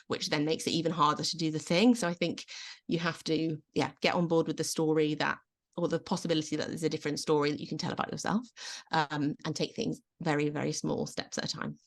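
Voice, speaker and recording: Low-voiced woman coaching gently. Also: flat delivery, fairly quick, gravelly. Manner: gentle, encouraging advice. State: slightly losing her voice. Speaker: self-belief coach guest. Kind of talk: casual video-call interview. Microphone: video call.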